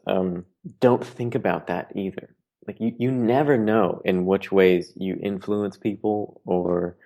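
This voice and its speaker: A very deep-voiced man speaks as a guest on a podcast. He is an artist who talks in an earnest, philosophical way, reflecting thoughtfully in casual conversation. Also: creaky, dark tone.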